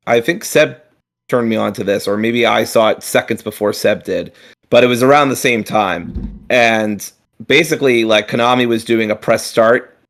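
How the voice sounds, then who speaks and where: Gravelly low-voiced man chatting casually. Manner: rambling, frequent fillers and restarts, swears casually. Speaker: podcast co-host. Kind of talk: casual chat.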